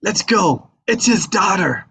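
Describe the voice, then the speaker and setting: An excitable young Sicilian bodyguard, acted by a man at a table read, pitched high for a male voice. He is brash and eager, with a husky, creaky edge and pleading, broken-up phrases. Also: slow pace, heavily acted. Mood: alarmed. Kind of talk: live table read of a film script.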